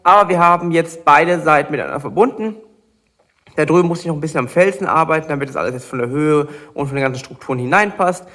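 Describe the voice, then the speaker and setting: Man, high voice, slightly stuffy from a cold, chatty, explaining each step. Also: fast talker, a bit bright. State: has a cold. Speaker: LEGO builder and channel host. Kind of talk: casual build vlog narration.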